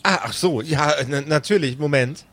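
A man's voice: on the high side, normal speed, fairly bright tone. A man acting as game master, improvising narration and bits of character talk.

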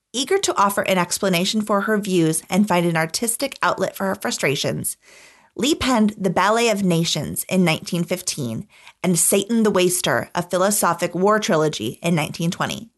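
A woman reading scripted documentary podcast narration in an articulate, measured storytelling manner. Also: fairly low pitch.

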